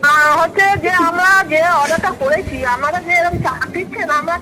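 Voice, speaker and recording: A woman's voice: extremely high pitch, fairly quick. A middle-aged woman on a phone call as a parcel customer, protesting firmly in everyday conversation. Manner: firm, protesting. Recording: phone call under loud music. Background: loud music.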